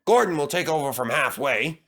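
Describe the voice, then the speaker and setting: Man playing a brisk, bright railway controller. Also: fairly high pitch, animated. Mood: encouraging.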